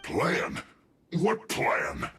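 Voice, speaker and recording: A man voicing a cornered, bumbling soldier thug in a video game, halting and evasive. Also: mid-range voice, wildly swooping pitch, a bit bright, heavily acted. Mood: defensive. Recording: roomy echo, faint game music.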